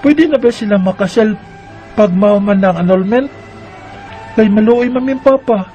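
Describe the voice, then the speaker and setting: A man's voice: high-pitched, normal speed, mellow, muffled recording, faint music behind. A man reading aloud a listener's letter in an earnest, pleading way.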